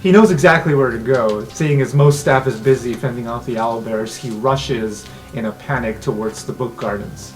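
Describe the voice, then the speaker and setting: A man working as game master, telling an improvised fantasy scene dramatically with deliberate pauses. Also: medium pitch. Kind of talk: improvised scene-setting narration for a tabletop game. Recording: background music under the voice.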